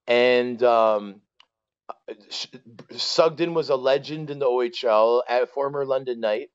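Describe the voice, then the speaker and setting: A man in his forties with a Canadian accent, telling stories casually as a podcast guest, colloquial and animated, often checking that the listener follows. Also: mid-range voice, measured pace, clear, resonant tone, no echo, highs cut off. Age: 40s. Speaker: former pro hockey player, interview guest.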